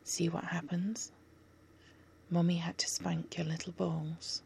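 Female ASMR whisperer, low fry-edged whisper, unhurried, coaxing yet firmly in control. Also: flat delivery, a little hiss or hum. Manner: soothing, coaxing, commanding.

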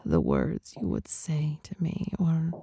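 A woman performing an intimate ASMR monologue in a breathy whisper, seductive, teasing and softly dominant, close to the microphone. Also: low voice, wildly swooping pitch, takes it slowly. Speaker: performer of adult audio recordings.

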